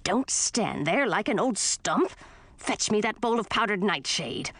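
A woman voicing a cranky, rude witch aunt with a raspy, lizardy voice in a storybook reading. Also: mid-range voice, lively intonation, measured pace, fairly bright tone, no echo. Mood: gruff, scornful. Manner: barking, grumbling, dismissive.

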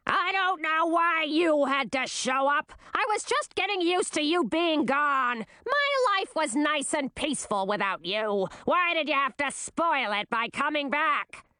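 A woman voicing a shrill, scornful old queen with a screechy, contemptuous way of scolding. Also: expressive, unhurried, hardly any pauses, sharp tone, dry recording, exaggerated voice.

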